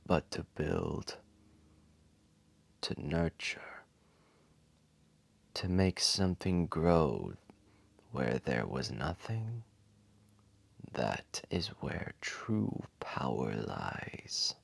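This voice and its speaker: A man voicing an ancient, massive dragon, wise, gentle and remorseful, telling a solemn story to one listener in a gravelly, breathy half-whisper. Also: very deep voice, sing-song, heavily acted. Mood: solemn, regretful. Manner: solemn, deliberate, tender. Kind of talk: slow dramatic storytelling to a single listener.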